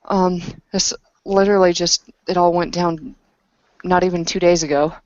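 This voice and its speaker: A woman narrating a tabletop roleplaying session in a hesitant, halting way. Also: on the low side, fairly even intonation, a little mellow, dry recording. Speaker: game master and player. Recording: dull lo-fi voice recording.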